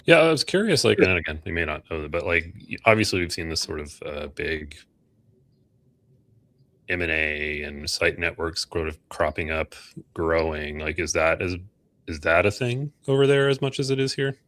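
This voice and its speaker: A man's voice: deep voice, animated, normal speed, a bit bright. An American man hosting a casual interview, talking in an informal, joking way. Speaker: podcast host.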